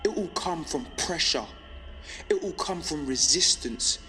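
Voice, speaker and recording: An actor playing a defiant, lonely young male rebel on pirate radio in an audio drama, speaking intensely and deliberately in short rhythmic phrases. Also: fairly high pitch, expressive, sharp tone, soft background music. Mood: resolute, hopeful. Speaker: underground radio broadcaster. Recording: reverberant space with background noise.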